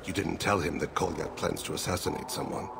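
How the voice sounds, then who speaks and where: An actor playing a calm, grave alien assassin and father in a video game, speaking in solemn, measured, formal phrases. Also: background noise. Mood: grave, quiet. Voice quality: gravelly, very deep.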